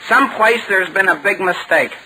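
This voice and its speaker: Eager, high-voiced American teenage boy. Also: moderate pace, sharp tone, poor audio. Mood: insistent. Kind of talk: 1940s radio comedy acting. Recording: hissy vintage 1940 radio broadcast.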